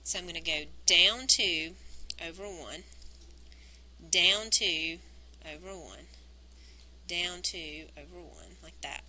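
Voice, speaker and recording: A woman teacher recording a math lesson on a wired mic, explaining each step and counting points aloud, her voice worn and going hoarse. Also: lowish voice, slow, long pauses, sharp tone, poor audio. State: losing her voice. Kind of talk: recorded math lesson, working through graphs. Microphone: wired microphone on a cord.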